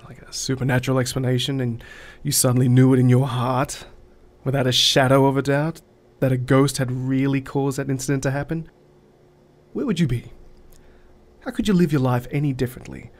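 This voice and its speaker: A man's voice: mid-range voice, fairly even intonation, a little fast, close to an everyday voice. An actor playing a pragmatic male therapist in an audio drama, reflective and matter-of-fact. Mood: reasoning. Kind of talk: conversational audio drama acting.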